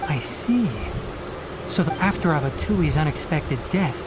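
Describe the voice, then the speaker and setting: An actor voicing a calm, thoughtful young forest ranger in game dialogue. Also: male voice, a little high, measured pace, very noisy. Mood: reflective. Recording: loud game music underneath.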